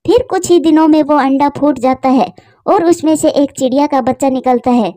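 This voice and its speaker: Female narrator for children's tales: high pitch, soft warm tone, close dry recording. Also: normal speed, no echo. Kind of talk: scripted children's story narration.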